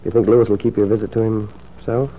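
Actor as a suave, husky scheming lover. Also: male voice, lowish voice, little pitch movement, fast, lightly acted. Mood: thoughtful. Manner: suave, insinuating, coaxing. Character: smooth scheming male lover, coaxing and callous. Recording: muffled old radio drama recording.

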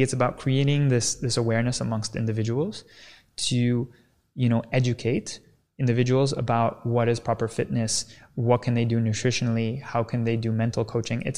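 A man speaking as a kinesiologist guest on a podcast, explaining in an energetic, teaching way and often checking in with "right?". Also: medium pitch.

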